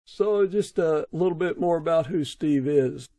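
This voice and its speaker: A man's voice: a little high, unhurried, dark tone. A middle-aged or older man hosting a casual interview, asking his guest questions conversationally. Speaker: podcast host, interviewer.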